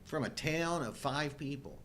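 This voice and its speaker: A man's voice: fairly high pitch, measured pace, a bit bright, a little hiss or hum. A man of about fifty co-hosting a casual film chat, joking and poking fun at himself. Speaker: co-host of a live show.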